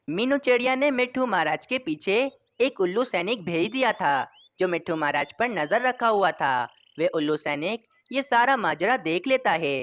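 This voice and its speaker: A woman reading scripted narration for a children's story. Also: mid-range voice.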